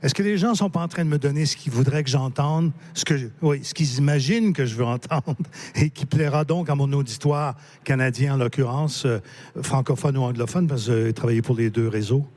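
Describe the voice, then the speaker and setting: Guy moderating a panel, measured, asks long framing questions, mid pitch. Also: darkish tone. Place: book fair stage.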